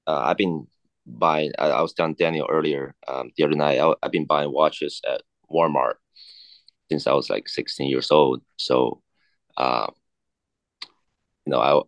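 A man in his thirties from China, a native Cantonese speaker, talking haltingly as an interview guest with many fillers and restarts. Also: extremely low pitch, fairly even intonation, creaky, dry recording. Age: 30s. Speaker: guest, watch collector. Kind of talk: casual interview chat.

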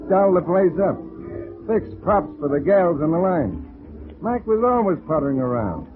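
A man's voice: on the high side, big pitch swings, normal speed. A man playing a chatty, slangy, impatient nightclub dice man in a 1940s radio drama. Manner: folksy slang, gabby. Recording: tinny 1940s radio recording with music behind.

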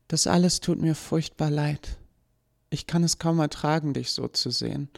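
A man reading an audiobook, voicing a gentle young woman who was made by someone, speaking softly and pleadingly. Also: medium pitch, steady tone, slow, pitched above the performer's own voice. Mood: sad farewell.

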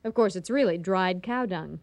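A woman's voice: on the low side, moderate pace, darkish tone, no room sound, lightly acted. A woman playing a feisty, indignant restaurant owner with a sarcastic streak in a radio comedy. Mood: scheming. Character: exasperated, feisty female restaurant owner, competitive and vengeful.

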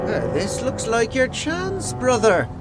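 An actor playing a slow-talking gangster brother in a comic noir audio drama. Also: male voice, extremely high pitch, wildly swooping pitch, heavily acted. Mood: grandiose. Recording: loud music and car sounds.

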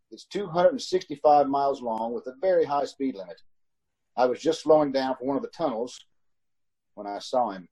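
A man's voice: medium pitch, warm tone, breathy edge, close to an everyday voice, poor audio. A man playing a haunted, uneasy motorist who tells his story in an old-time radio drama. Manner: measured narrating, nervous stammering in dialogue. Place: home, recorded over a video call.

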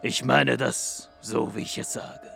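A pompous, grumpy narrator of a comic radio serial, played by a man. He speaks slowly and theatrically in a heavily gravelly voice, with music playing behind him. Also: mid-range voice, steady tone, sharp tone, full character voice. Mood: solemn. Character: pompous, grumpy male storyteller of a detective serial.

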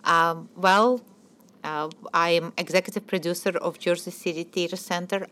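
A woman's voice: lowish voice, a bit bright, clear, resonant tone. A middle-aged woman from Ukraine and Belarus, speaking as a radio interview guest and hesitating often. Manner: hesitant, many fillers and restarts. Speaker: guest, theater producer.

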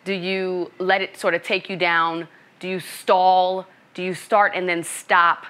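Middle-aged Brooklyn-born woman, bright tone, measured, thoughtful interview guest. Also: fairly low pitch, little pitch movement. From Brooklyn, New York. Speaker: coach and author. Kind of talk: interview conversation.

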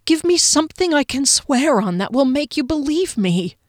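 A woman's voice: on the high side, expressive, normal speed, dry recording, clean studio sound, natural voice. A woman voicing a wounded young swordswoman in an audiobook, breathless and pleading, her voice strained. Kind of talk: audiobook character voice.

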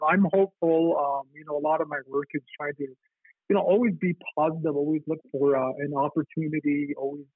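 Husky man on a tinny phone line. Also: a little high, no echo. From Navajo Nation. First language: Navajo. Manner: halting, with many fillers and restarts. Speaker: guest. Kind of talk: reflective casual interview talk.

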